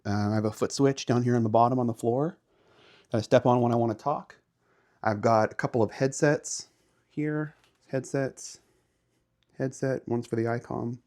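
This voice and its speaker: A man's voice: medium pitch, steady tone, moderate pace, long pauses, a little mellow, dry recording, studio quality. A man giving a casual walk-through tour as host, explaining things in a plain-spoken way. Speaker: host, amateur radio hobbyist. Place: outdoors by a house, then garage and small radio room.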